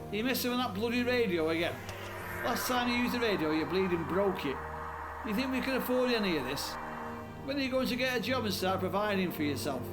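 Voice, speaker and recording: A man playing an angry, scolding British father in a radio drama, harsh and berating. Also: sits high. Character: angry, scolding, hard-drinking working-class father.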